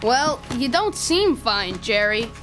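A woman's voice: fairly high pitch, big pitch swings, takes it slowly, fairly bright tone, heavily acted. A woman playing a smug young boy gamer in an audio drama, needling and mock-concerned. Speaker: actor.

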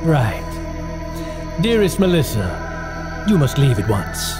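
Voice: medium pitch, very animated, slow pace, over music. A performer voicing a gloating, formal otherworldly entity. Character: sinister otherworldly entity, mocking.

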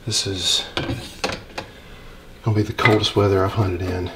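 Easygoing young male hunting host, deep mellow voice, flat steady delivery, faint hiss behind. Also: normal speed. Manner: easygoing, plainspoken, talks his plan through. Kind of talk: casual on-camera hunting talk. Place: inside a small hunting blind.

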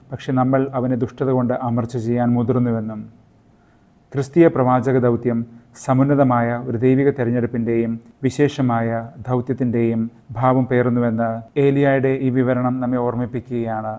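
A man from Kerala delivers a scripted sermon as a podcast host. His voice is mellow and dark, and it stays level and even from phrase to phrase. He talks in a measured way and slips English phrases into his reflection. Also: mid-range voice. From Kerala, India. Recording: intro with music and roomy hall reverb.

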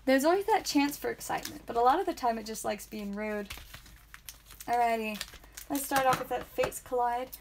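A woman hosting a live card pack-opening stream, chatting playfully and saying sound effects aloud, with a calming voice. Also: a little high, moderate pace, a little hiss or hum. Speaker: trading card stream host. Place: indoor room with whiteboard.

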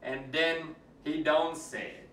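A man's voice: a little high, slow, fairly bright tone. A man reads a storybook aloud in a theatrical, playful, exaggerated way. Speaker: storyteller reading a picture book aloud. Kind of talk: theatrical storybook reading in Cajun dialect. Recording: echoey room, distant mic.

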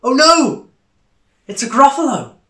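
A man's voice: extremely high pitch, sing-song, unhurried, a bit bright, echoey room. A young man reading a children's story aloud expressively. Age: young adult. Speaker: storybook reader. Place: small indoor room, on a sofa.

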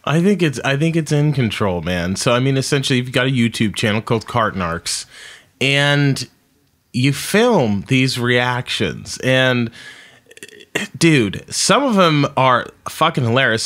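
A man in his thirties hosts a podcast in a casual, conversational way. His voice has a middle pitch, and he puts questions to his guest informally. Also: big pitch swings, normal speed, a bit bright. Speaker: podcast host. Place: home room indoors. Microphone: boom-arm studio microphone.

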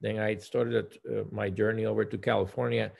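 A man in his fifties from Puerto Rico with a Puerto Rican accent, a native Spanish speaker, talking conversationally as a guest pastor on a video call, halting and correcting himself. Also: fairly low pitch, little pitch movement, creaky, a little mellow, slightly rough. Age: 50s. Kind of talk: conversational personal account. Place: small room at home, indoors.

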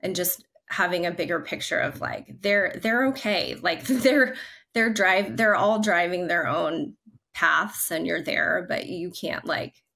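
A woman in her forties speaks on a video call from her living room, her voice bright and forward. She talks in an upbeat, chatty way, full of loose fillers as she explains her ideas. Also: lowish voice, unhurried, vocal fry. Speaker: guest, creative brand leader. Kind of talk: casual video-call interview. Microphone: video call, laptop camera.

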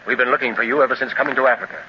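An actor playing a confident male secret agent in a 1930s radio serial, brisk, reassuring and commanding, heard through an old recording with a faint hiss. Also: mid-range voice, steady tone, muffled recording, lightly acted. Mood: steady, reassuring.

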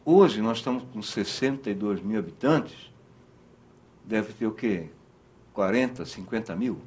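Brazilian guy hosting a cooking show, kinda husky low voice, rambles and jokes while cooking, lapel mic in studio kitchen. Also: unhurried, a little mellow. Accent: Brazilian Portuguese. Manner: joking, rambling, self-interrupting; cooking at the counter while talking. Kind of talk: casual cooking-show chat. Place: TV studio kitchen set, indoors.

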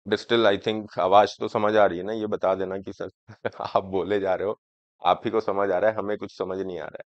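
Brief: man in his 30s with a cold, hoarse, lowish voice, patient teacher checking that students follow, dry and slightly dull sound. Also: level tone, dry recording. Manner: explaining slowly, often asking students if it is clear. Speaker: exam coaching teacher. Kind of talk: lecture on current affairs, Hindi mixed with English. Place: studio classroom, in front of a screen.

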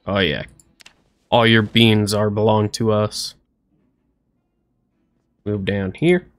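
A man in his thirties gives laid-back, unhurried commentary while he plays a game. He mutters to himself and drifts into silly little voices, over faint game music at his home desk setup. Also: on the low side, flat delivery. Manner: goofy, talks to himself, playful silly voices. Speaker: let's play streamer. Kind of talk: casual gameplay commentary.

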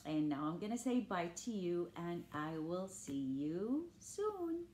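A woman's voice: on the low side, sing-song, measured pace, a little mellow, slight background noise. An older woman reading a picture book aloud to young children in an exaggerated, sing-song manner with questions for them. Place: indoors in a living room. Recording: roomy home recording.

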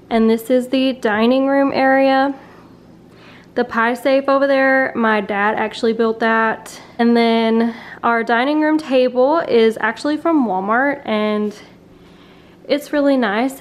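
A young woman casually narrating a walkthrough of her home as she films it, talking in a chatty, offhand way. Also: on the high side. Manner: chatty, offhand, trails off mid-thought. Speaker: vlogger giving a home tour. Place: rooms of a mobile home. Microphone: handheld camera while walking.

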